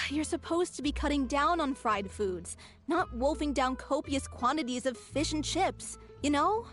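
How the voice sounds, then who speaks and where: A woman's voice: high voice, lively intonation, a little slow, a bit bright. A woman playing a caring, earnest young stage performer in a video game, speaking articulately. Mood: scolding, worried. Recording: music clearly heard behind the voice.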